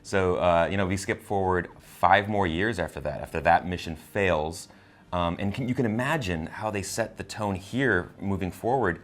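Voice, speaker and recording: Low-voiced man in casual review chat. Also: normal speed. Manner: hesitant, repeats words and phrases as he goes. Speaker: film reviewer and co-host.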